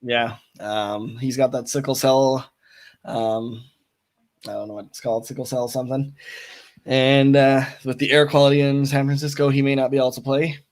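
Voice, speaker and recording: A young man with a touch of rasp reads out sports news in a level, matter-of-fact way, dropping plenty of "um"s. His pitch barely moves, staying flat at a medium height. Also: moderate pace. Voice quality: a bit raspy. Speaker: co-host. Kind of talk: casual fantasy football chat.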